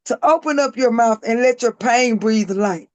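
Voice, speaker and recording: A woman with a raspy, hoarse voice, a guest author reading her own poems aloud in a slow, emphatic spoken-word style. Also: fairly high pitch, no echo.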